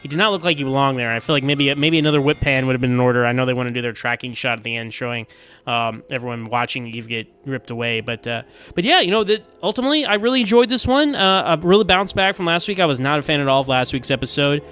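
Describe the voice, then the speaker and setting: A man's voice: medium pitch, quick pace, a bit bright, clean tone. A man giving casual, rambling episode commentary as a podcast host, often stammering over repeated words.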